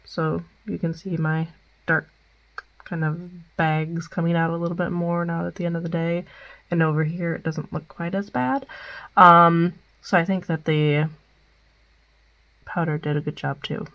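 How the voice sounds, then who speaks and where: A woman's voice: fairly low pitch, fairly even intonation, measured pace, lo-fi sound. A young woman with a Midwestern American accent and a stuffed-up nose, chatting casually through a makeup wear-test vlog with many ums. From Midwestern United States. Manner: chatty, many ums, reads product details. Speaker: beauty vlogger.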